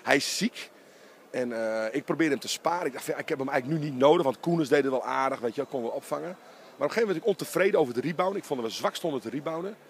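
A man's voice: medium pitch, slight background noise. A middle-aged man, a basketball coach, talking emphatically in a post-game interview inside an empty arena, close to a handheld mic. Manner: animated, emphatic, talks in quick bursts. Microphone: handheld interviewer mic held close.

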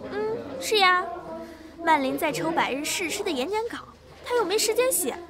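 A young woman playing a lively, bossy, teasing high school girl in an amateur audio drama. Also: normal speed, noisy background. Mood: teasing, pushy.

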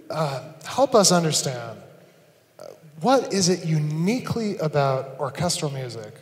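A middle-aged man hosting an onstage interview, asking earnest, fluent questions. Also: a little high. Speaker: conference host. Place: large hall stage. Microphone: handheld microphone. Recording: long hall reverb.

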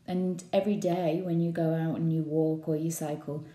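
A woman's voice: low voice, level tone, normal speed, dark tone. A woman reading a scripted voice-over for a presentation. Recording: muffled, echoey indoor voice-over.

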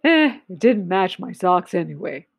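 A woman reading aloud and voicing a kind, scruffy male giant in a lower, fuller voice. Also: very animated, normal speed, creaky, dry recording, exaggerated voice. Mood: cheerful.

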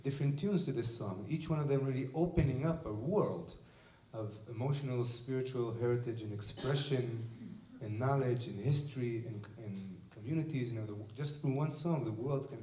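Israeli man, onstage lecturer, mellow tone, picked up from far off in an echoey room, halting and reflective delivery. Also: mid-range voice, a little hiss or hum. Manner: thoughtful, searching for words with many restarts. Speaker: musician and lecturer. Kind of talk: onstage talk to an audience. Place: museum event space with audience. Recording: echoey room heard from a distance.

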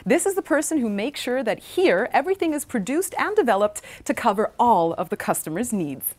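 A woman in her thirties with a slightly high voice hosts a corporate video, upbeat and polished as she speaks to the audience. She is recorded on a lapel mic in a bright modern office. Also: sing-song, brisk. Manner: upbeat, polished, addressing viewers. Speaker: presenter. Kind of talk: scripted corporate presenting.

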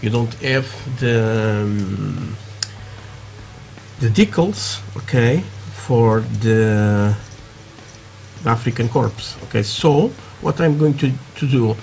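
Man, Portuguese accent, non-native English, slow, broken phrasing, workbench tutorial. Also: mid-range voice, animated, vocal fry, darkish tone, slight background noise. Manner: halting, many fillers, broken phrasing. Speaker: scale model builder. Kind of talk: casual hobby tutorial talk. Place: indoor hobby workbench. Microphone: camera over work desk, hands only.

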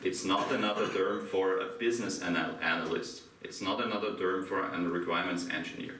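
Austrian-accented man, deep, bright in a roomy hall. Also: a little fast. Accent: Austrian German. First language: German. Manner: asks the audience questions, laughs lightly. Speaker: conference speaker. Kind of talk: interactive conference talk. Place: dim hotel conference room, small audience. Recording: echoey room from far off, faint hiss.

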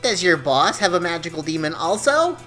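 A woman voicing a brash, insistent male inner demon in an animated dark comedy, goading and scheming. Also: on the low side, a little fast, soft background music. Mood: argumentative.

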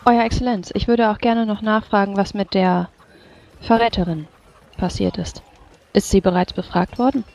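A woman's voice: mid-range voice, steady tone, moderate pace, creaky, darkish tone, lo-fi sound, close to an everyday voice. A woman playing a courteous female adventurer in a tabletop game, speaking politely and formally. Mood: polite, guarded.

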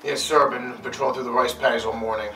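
A man's voice: mid-range voice, steady tone, fast, pushed and bright, a little hiss or hum, natural voice. A man playing an earnest, obedient young army trooper in a scripted retro training film, deferential and stilted. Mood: earnest, sheepish. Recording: distant from mic, music under voice. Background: background guitar music.

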